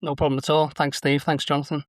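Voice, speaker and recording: A man who is a sales manager speaking as a guest on a podcast, in a measured, plain-spoken way. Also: fairly high pitch, flat delivery, dry recording, clean studio sound. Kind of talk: podcast interview.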